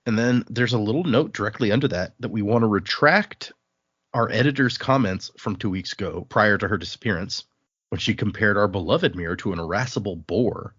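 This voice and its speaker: A man's voice: fairly low pitch, fairly quick, a bit bright, breathy edge, dry recording, dull audio. A man hosting a podcast, chatting informally as he recaps a book and reads bits of it aloud. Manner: conversational, informal. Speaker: podcast host. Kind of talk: casual chat recapping and reading from a novel.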